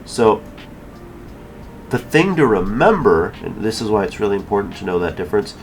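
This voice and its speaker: A man hosting a casual software tutorial, explaining each step in an informal way. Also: fairly low pitch, sing-song, moderate pace, faint music behind. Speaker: tutorial host. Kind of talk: casual screen-recorded software tutorial narration.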